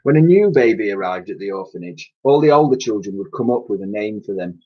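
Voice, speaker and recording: A man in his thirties reading a children's storybook aloud in an expressive, explanatory way, like a teacher to pupils. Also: lowish voice, fairly quick. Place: small attic room with sloped ceiling, indoors. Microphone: webcam or laptop mic at arm's length. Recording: dull, lo-fi webcam sound.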